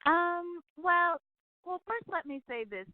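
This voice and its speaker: A woman's voice: very high-pitched, lively intonation, slow, no room sound. A woman in her forties, a guest in a phone interview, talking upbeat and enthusiastic about her business. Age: 40s. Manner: upbeat, enthusiastic, says "absolutely" often. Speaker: guest, travel business owner. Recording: tinny phone line.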